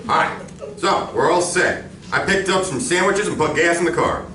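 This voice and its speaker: A woman acting a self-assured, casual male suitor in a stage radio drama, with a brisk manner. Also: fairly low pitch, fairly bright tone, close to an everyday voice. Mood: breezy. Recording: echoey, distant live room with noise.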